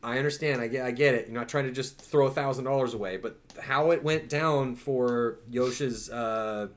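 A man's voice: mid-range voice, normal speed. A man talking casually as a guest on a talk show, slangy and emphatic. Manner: slangy, emphatic, mild swearing. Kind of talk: casual chat.

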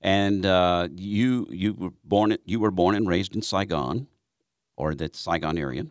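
Deep-voiced radio host with a drawl. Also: male voice, unhurried, no echo, studio quality. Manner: folksy, chatty with frequent fillers. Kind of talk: radio interview. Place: radio studio. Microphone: studio microphone.

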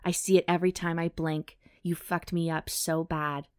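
A commanding, lustful man from a romance novel, read by a woman. The voice sits slightly low and is close and dry, in audiobook dialogue style. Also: flat delivery, moderate pace, clear, resonant tone, dry recording, close to an everyday voice. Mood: lustful, frustrated.

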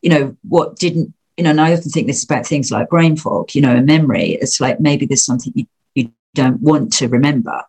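Reflective woman therapist, husky, chatty, many fillers. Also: rumbling low, fairly quick, darkish tone. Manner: reflective, heavy use of "you know". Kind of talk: casual conversation.

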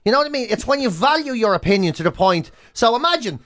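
A man's voice: very high voice, big pitch swings, fast talker, fairly bright tone. A middle-aged Irish man co-hosting a comedy podcast, bantering and ranting in a sweary, exasperated, emphatic way. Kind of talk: bantering comic rant, casual chat.

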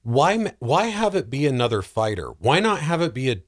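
A man chatting casually as a podcast panelist about films, animated and often acting out imagined reactions. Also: mid-range voice, brisk. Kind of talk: casual movie discussion.

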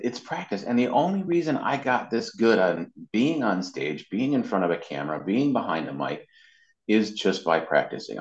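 Low male voice, casual interview guest, emphatic explainer, roomy muffled recording. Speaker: interview guest, web marketing consultant and voice actor.

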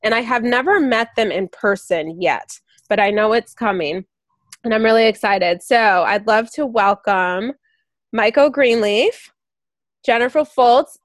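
A woman hosting a podcast, talking in a measured, reflective way. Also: medium pitch, no echo. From United States. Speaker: podcast host. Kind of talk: casual podcast chat.

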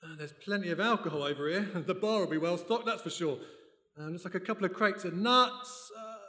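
Actor as flustered male sidekick, high bright voice, polite, chatty, radio play, echoey hall. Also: fairly quick. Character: eager, easily distracted son turned amateur sleuth. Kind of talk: radio play acting before a live audience. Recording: echoey hall, live stage recording.

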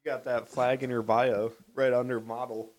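A man's voice: medium pitch, level tone, normal speed, darkish tone. A man hosts a podcast in a casual interview. He keeps his replies short and easygoing and asks plain questions. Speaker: podcast host.

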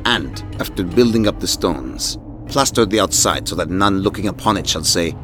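A man's voice: low-pitched, expressive, normal speed. A man playing a solemn, commanding young prince in an archaic audio drama, with grave, formal, declamatory delivery. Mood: solemn, urgent. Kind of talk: audio drama acting of an archaic storybook tale. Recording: music behind the voice. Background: music.

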